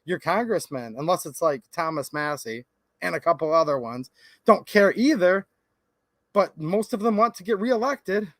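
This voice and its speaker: A man's voice: a little high, lively intonation, normal speed, a bit bright, dry recording. A man hosting a live stream, chatting casually and passionately with viewers and reading out their comments. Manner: passionate and folksy, talks straight to viewers. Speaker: livestream host. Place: home recording studio.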